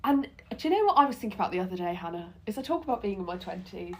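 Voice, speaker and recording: A twenty-year-old woman co-hosting a chat podcast, talking quickly and bubbling with giggles. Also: medium pitch, sing-song, a little mellow. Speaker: podcast co-host. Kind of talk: casual chat.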